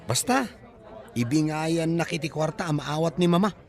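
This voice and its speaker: A man's voice: a little high, moderate pace, crowd noise, lightly acted. A man acting an impatient, money-minded grumbler in a comedy audio drama, whiny. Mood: grasping.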